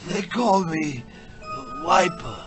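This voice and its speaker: Slangy street slacker: high-pitched, unhurried, dazed and woozy, audio drama supporting role. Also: male voice, animated, over music. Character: dazed, slangy male street squeegee man.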